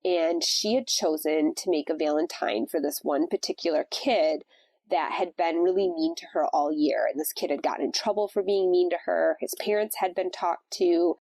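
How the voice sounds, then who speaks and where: A woman's voice: on the low side, moderate pace, a little mellow, no room sound. Congested woman hosting a faith podcast. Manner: conversational, reflective. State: congested from a cold. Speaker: podcast host. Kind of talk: casual devotional talk.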